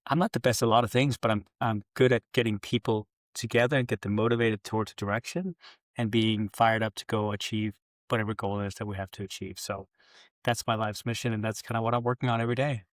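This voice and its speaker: A middle-aged man from Denmark talks in a casual interview at a brisk pace. He is candid and informal, poking fun at himself. The recording is close and dry. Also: on the low side, fairly even intonation, dry recording. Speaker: podcast guest, business executive.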